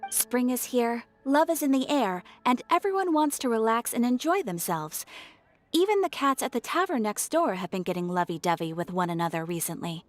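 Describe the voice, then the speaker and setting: A woman's voice: on the high side, lively intonation, normal speed, lightly acted. Woman as courteous, lilting guild receptionist. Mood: polite, pleasant. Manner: courteous, composed. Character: polite, friendly female guild receptionist. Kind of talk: video game cutscene voice acting. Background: music.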